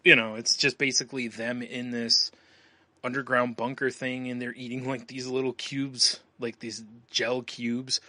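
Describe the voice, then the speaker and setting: A man's voice: mid-range voice, flat delivery, measured pace, pushed and bright, no room sound. A man co-hosting a podcast in casual chat, rambling and laughing often as he talks. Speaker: podcast co-host.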